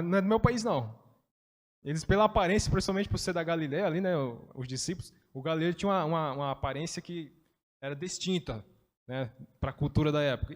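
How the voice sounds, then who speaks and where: High-voiced man teaching Bible study. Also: in a large hall. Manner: chatty, explains with everyday examples, frequent "né".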